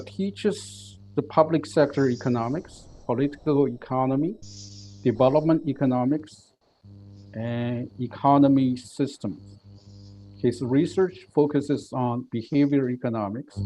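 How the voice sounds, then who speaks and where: A man moderating an academic session, introducing the next speaker at an unhurried pace with some uhs. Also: mid-range voice, mellow, faint music behind, rough recording.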